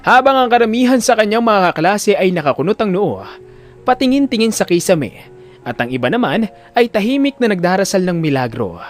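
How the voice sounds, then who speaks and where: A man narrating a scripted drama story in an expressive, emphatic storyteller's delivery. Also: high voice, fairly quick, soft background music.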